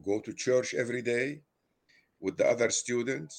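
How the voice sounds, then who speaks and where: A man's voice: medium pitch, fairly even intonation, gravelly. Older Arabic-accented man telling his story. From Lebanon. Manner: halting, many fillers and restarts. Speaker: guest. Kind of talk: casual personal storytelling.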